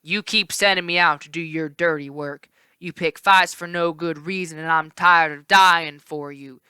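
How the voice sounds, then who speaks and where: A woman voicing a weary, gruff male cowherd who grumbles slowly and flatly. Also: fairly low pitch, dry recording, clean studio sound. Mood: grumbling. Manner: flat grumbling, slow.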